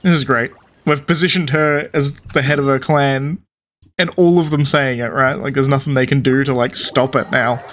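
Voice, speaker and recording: A man's voice: mid-range voice, fairly even intonation, moderate pace, a bit bright, soft background music. A man giving casual gameplay commentary, thinking aloud in a rambling way. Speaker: gaming streamer.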